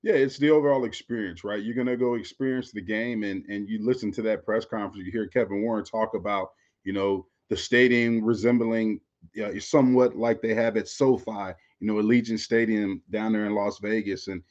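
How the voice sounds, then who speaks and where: A man's voice: fairly low pitch, steady tone, fairly quick, darkish tone, muffled recording. An American man from Florida, a former player co-hosting a sports podcast, chats casually and circles back over his phrases with frequent filler tags. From Florida, United States. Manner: conversational, repeats phrases, often says "you know". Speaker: former pro football player, podcast co-host.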